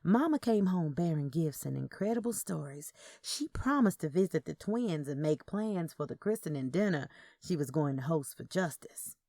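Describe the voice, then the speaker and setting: A woman's voice: sits low, animated, moderate pace, dark tone, no room sound, clean studio sound. A woman narrating a first-person story, with character dialogue acted out. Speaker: narrator of audio fiction.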